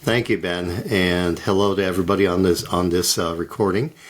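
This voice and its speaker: A middle-aged man, a guest introducing himself in a friendly, somewhat halting way. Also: sits low, steady tone, a little hiss or hum. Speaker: guest, corporate intelligence lead. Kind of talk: casual conversation.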